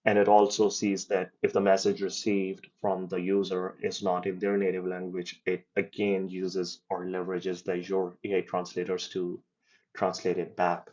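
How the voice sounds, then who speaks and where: A man explaining and demoing his software project in a casual walkthrough, hesitating with ums and restarts as he goes. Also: low voice, monotone, moderate pace, muffled recording. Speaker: developer giving a project demo. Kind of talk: casual screen-share demo walkthrough.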